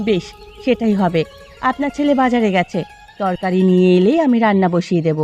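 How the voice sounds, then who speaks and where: A woman's voice: medium pitch, expressive, fairly quick, a little mellow, highs cut off, faint music behind, close to an everyday voice. A woman voicing a dutiful, considerate young village daughter-in-law in a Bengali cartoon. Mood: earnest.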